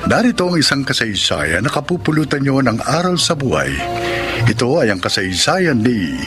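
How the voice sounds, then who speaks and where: A man's voice: mid-range voice, very animated, moderate pace. A man narrating and reading credits formally and dramatically as a radio drama announcer. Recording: music underneath.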